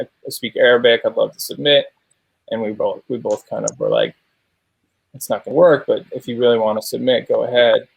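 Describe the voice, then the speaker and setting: A man's voice: medium pitch, vocal fry, no room sound, rough recording. A man speaking as a filmmaker guest in a panel interview, explaining how a film was made.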